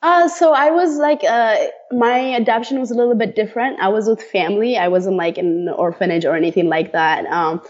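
A woman's voice: medium pitch, fairly even intonation, brisk, few pauses, lo-fi sound. A woman in her thirties, born in Ethiopia, chatting casually as an interview guest with frequent laughs and many fillers. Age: 30s. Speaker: guest, runner.

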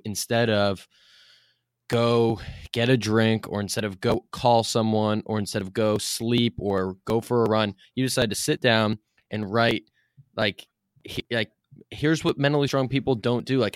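A man with a moderately low voice interviews as a podcast host. His delivery is casual and conversational, halting as he rephrases, and the sound is dry with no room echo. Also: clean tone.

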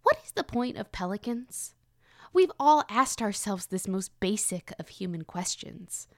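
A woman playing an earnest crypto-naturalist researcher in a scripted audio drama, speaking with dramatic pauses and awed hesitations. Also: a little high, a bit bright, no room sound, studio quality. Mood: intrigued, suspicious. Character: earnest female crypto-naturalist field researcher reporting strange discoveries.

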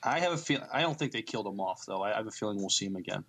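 A man with a fairly low voice co-hosts a podcast. He talks through news items in an informal way, somewhat halting, catching on the starts of words. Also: lively intonation, a bit bright, slight background noise. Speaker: podcast co-host. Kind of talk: casual chat and news reading.

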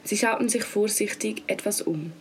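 A teenage girl with a Swiss German accent reads the narration of a spooky tale at a steady pace. Her voice sits at a mid pitch, and music plays beneath her. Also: moderate pace, slight background noise. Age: teens. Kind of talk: scripted narration.

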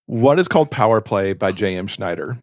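A man with a fairly low voice talks in casual, enthusiastic book-chat style. The sound is dry and close, with almost no room around it, and he comes across as a gushing podcast co-host. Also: lively intonation, a little fast, no echo.

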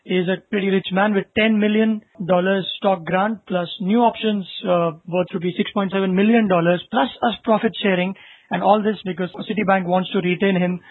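A man's voice: high-pitched, steady tone, a little fast. A man co-hosting a podcast, discussing business news conversationally and posing rhetorical questions as he explains. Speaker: podcast co-host. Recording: narrow, phone-like lo-fi sound.

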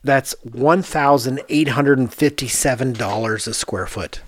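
A man, an architect hosting a podcast, chatting casually and telling animated anecdotes in which he quotes other people. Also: mid-range voice, measured pace, no echo, clean studio sound.

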